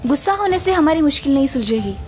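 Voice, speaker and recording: Cartoon village wife acted by a woman: young, supportive, gentle, clearly high female voice. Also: big pitch swings, fast talker, soft background music. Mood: soothing.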